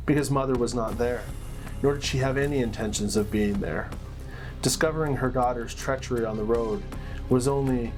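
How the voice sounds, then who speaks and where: A man with a medium-pitched voice narrates fantasy lore like a game master at the table. His telling is dramatic but conversational. Soft plucked-string music plays behind him. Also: low-quality recording. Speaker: storyteller, game master and channel host.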